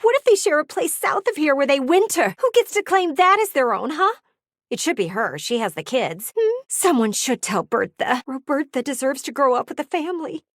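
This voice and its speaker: A woman's voice: high-pitched, big pitch swings, normal speed, dry recording, studio quality. A woman voicing a gossipy, vain and dramatic talking house window for a video game, with a nosy, fretful, teasing manner. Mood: scandalized, dramatic. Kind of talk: video game character voice acting.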